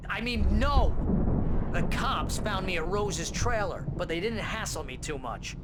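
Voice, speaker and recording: A whiny, indignant sidekick in a video game. He rambles and complains in a high voice, with loud noise around him. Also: expressive, a little slow. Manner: rambling, griping.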